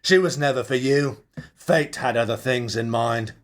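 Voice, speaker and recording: A man voicing a measured, consoling older male former teacher in an audiobook. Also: mid-range voice, natural voice. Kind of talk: audiobook character acting.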